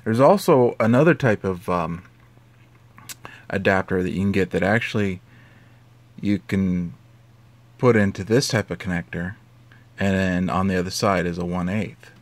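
A man's voice: deep voice, moderate pace, pauses often. A man hosting a tutorial, explaining things step by step in an informal, conversational way. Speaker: host of an audio tutorial. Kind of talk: casual how-to tutorial.

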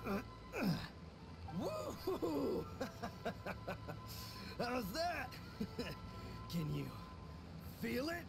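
A man's voice: very high voice, huge pitch swings, drawn-out words, vocal fry, long pauses, exaggerated voice. An actor playing a possessed man sitting under a freezing waterfall, raving and mocking. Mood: manic. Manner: manic, mocking, then pleading. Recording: game audio over music.

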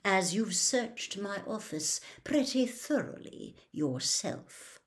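Storybook villainous professor, male, woman reading: icy, soft, clenched, hissing, unhurried. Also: medium pitch, expressive. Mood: quietly dangerous. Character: cold, hissing, irritable male professor, tightly controlled. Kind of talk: audiobook character voice.

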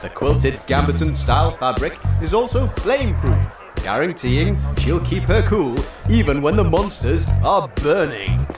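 Radio comedy compère, male actor: smarmy, showy, high-pitched and swooping, music behind. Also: sing-song, unhurried, few pauses. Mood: showy, salesy. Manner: theatrical, smug, patronising announcer patter. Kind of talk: comedic radio drama fashion commentary. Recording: echoey, distant PA, music behind.

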